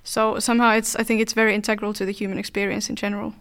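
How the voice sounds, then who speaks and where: Clear, even-toned woman from Finland. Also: mid-range voice, racing. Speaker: dance artist, podcast guest. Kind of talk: reflective interview talk.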